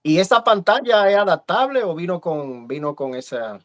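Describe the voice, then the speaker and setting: A man's voice: high-pitched, normal speed. A man hosting a live video-call interview, friendly and hesitant, with many fillers. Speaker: host. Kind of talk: casual live-stream interview.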